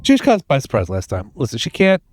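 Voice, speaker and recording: A man's voice: mid-range voice, huge pitch swings, fast, a little mellow, no room sound. A man reading game dialogue aloud in character during a playthrough. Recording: dry, faint music behind.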